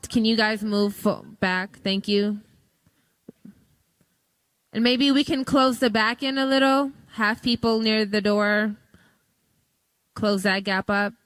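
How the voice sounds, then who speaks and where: A woman's voice: a little high, a bit bright, no echo. Woman session leader, casual crowd-directing tone, dry close mic. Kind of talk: addressing a conference crowd. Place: large conference hall.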